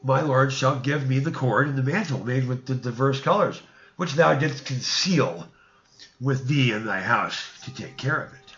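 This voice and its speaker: A man's voice: mid-range voice, moderate pace, a bit bright, roomy sound, muffled recording, lightly acted. A man voicing a wronged traveler in a storybook, pleading and protesting in archaic speech. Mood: earnest protest.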